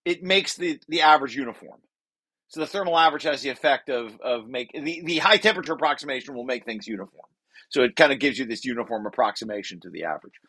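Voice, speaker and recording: A man presenting a technical paper aloud, reading and adding explanations in a halting way with self-corrections. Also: mid-range voice, pushed and bright, breathy edge, dry recording. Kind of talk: technical table read with explanatory asides.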